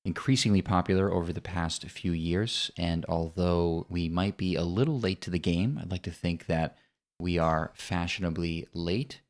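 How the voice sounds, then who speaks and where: Deep-voiced American man co-hosting. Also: normal speed. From United States. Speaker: podcast co-host. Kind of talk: casual podcast chat.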